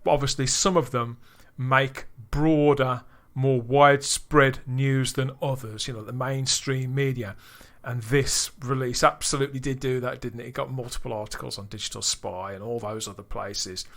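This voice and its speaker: A man hosting a podcast discussion, talking in long rambling sentences full of restarts and getting louder when he gets excited. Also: mid-range voice, moderate pace, vocal fry. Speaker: podcast host.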